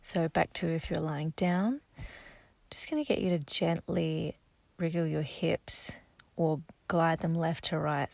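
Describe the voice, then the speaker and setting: A woman guiding a sleep meditation, speaking softly and gently, slow and instructive, sometimes half-whispered. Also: low voice, gravelly, dry recording. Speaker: meditation guide.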